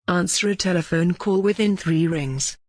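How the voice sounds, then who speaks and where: A tutorial narrator reading scripted instructions in a measured, formal way. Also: medium pitch, a little mellow, dry recording, clean studio sound.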